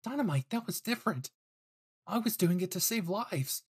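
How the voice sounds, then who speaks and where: A man's voice: high voice, expressive, moderate pace, husky, voice pushed up, full character voice. A man voicing an earnest, anxious young male hero who pleads on principle in an anime fan audio drama. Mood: pleading.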